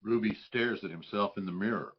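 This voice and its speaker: A man with a fairly low voice serves as narrator. He reads scripted stage directions. The recording sounds a bit muffled. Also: fairly quick.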